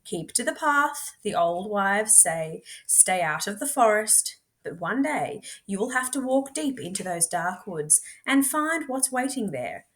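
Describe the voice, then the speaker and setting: Woman, mid-pitched voice, expressive read-aloud, measured storytelling, deliberate dramatic pauses. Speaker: teacher reading books aloud.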